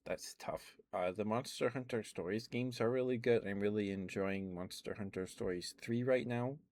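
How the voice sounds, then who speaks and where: Very deep-voiced man rambling softly. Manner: rambling, many ums and uhs; relaxed, quiet and unhurried. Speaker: ASMR streamer. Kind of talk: soft-spoken ASMR rambling about games.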